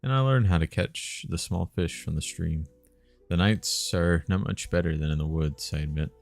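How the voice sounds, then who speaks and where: A man's voice: low voice, normal speed, creaky, faint music behind. A man voicing a playful, sly woman spellcaster of the wilds, with old-fashioned rustic phrasing, in a story game read-aloud. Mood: candid, wary.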